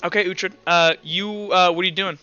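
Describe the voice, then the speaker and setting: A man running a tabletop roleplay session as game master over a voice call, halting and thinking aloud. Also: high voice, steady tone, pushed and bright, smooth, dull audio, faint music behind. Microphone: online voice call.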